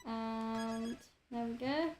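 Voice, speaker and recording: Slow-talking croaky woman streamer. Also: a little high, soft background music. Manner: relaxed, chatty, with frequent fillers. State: slightly croaky from a cold. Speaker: game streamer. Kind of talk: casual let's-play commentary.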